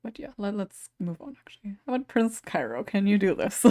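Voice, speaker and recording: A woman's voice: medium pitch, big pitch swings, fairly quick, dark tone, dry recording. A woman hosting a casual panel chat, giggly and conversational, on a close microphone. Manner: giggly, laughs often while talking. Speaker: podcast host.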